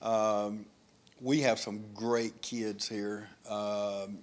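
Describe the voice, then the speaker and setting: A man's voice: fairly low pitch, flat delivery, extremely slow. Folksy local TV interviewer, man. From Dublin, US. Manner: folksy, rambling, affirming.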